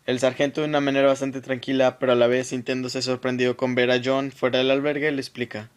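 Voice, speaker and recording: A man's voice: medium pitch, little pitch movement, quick pace, smooth. Male narrator of an action radio drama.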